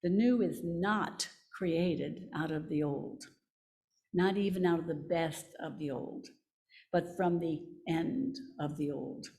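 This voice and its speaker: A woman giving a measured, solemn reading as a lay reader at a church service. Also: low voice, a little mellow. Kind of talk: scripture and quotation reading.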